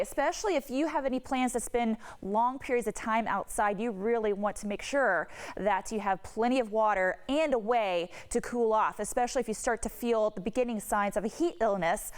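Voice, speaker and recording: A woman's voice: fairly high pitch, clear, resonant tone. A woman meteorologist giving an ad-libbed television weather forecast, explaining things conversationally and repeating words for emphasis.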